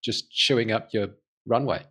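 A man's voice: fairly low pitch, moderate pace, pushed and bright, husky. A man hosting a podcast interview in a casual, informal way, thinking aloud and rephrasing his questions as he goes.

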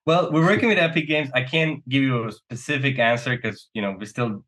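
A man's voice: medium pitch, a little fast, fairly bright tone. A man from Serbia talking casually and earnestly as a company co-founder being interviewed, often checking in with "right?".

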